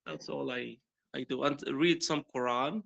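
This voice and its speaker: A young man from Morocco, a native Arabic speaker, talks slowly and hesitantly in English. His voice is gravelly and bright, and he restarts phrases often. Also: mid-range voice, steady tone, muffled recording. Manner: hesitant, many fillers and restarts, laughs often. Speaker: primary school teacher practicing English. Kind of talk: casual conversation practice.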